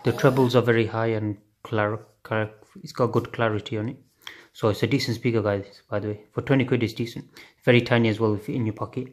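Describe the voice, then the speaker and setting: A man's voice: fairly low pitch, steady tone, a little fast, faint music behind. A man casually unboxing and trying out a gadget, thinking aloud and swearing offhandedly, recorded on a phone at home at night. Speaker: unboxing video host. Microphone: phone camera filming hands on a table.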